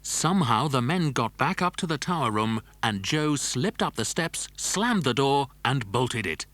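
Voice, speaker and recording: A man's voice: medium pitch, big pitch swings, unhurried, a bit bright, a little hiss or hum. A man narrating a scripted children's adventure story.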